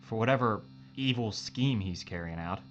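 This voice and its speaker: A man's voice: on the low side, moderate pace, a bit bright, lo-fi sound, slight background noise, close to an everyday voice. A man playing a loyal, wry spaceship crewman and tinkerer in an audio drama, talking casually. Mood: wry, practical.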